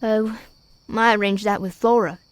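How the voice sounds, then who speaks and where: A woman playing a precocious, charming young boy in a gothic audio drama, teasing, polite and deliberate. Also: medium pitch, steady tone, smooth, dry recording, natural voice. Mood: sly confession.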